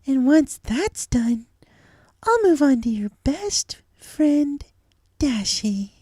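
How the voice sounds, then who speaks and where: A woman's voice: high-pitched, sing-song, slow pace, warm tone, no echo, clean studio sound, full character voice. A woman voicing a manic, girlish cartoon pony killer in a dramatic story reading, sugary, theatrical and taunting, with sweet menace. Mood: menacing, wounded. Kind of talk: dramatic fan fiction reading in character. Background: music.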